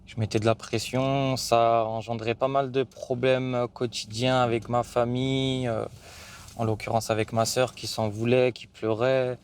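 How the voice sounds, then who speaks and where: A man's voice: medium pitch, flat delivery, a little slow, slight background noise. A young man from France speaking earnestly in a documentary interview, hesitating and restarting his phrases.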